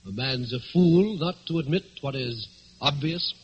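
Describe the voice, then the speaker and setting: An actor playing a suave, composed gentleman thief, persuasive and commanding, in old-time radio drama style. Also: mid-range voice, animated, normal speed, lightly acted. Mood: smooth, resigned. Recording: dull, noisy vintage radio recording.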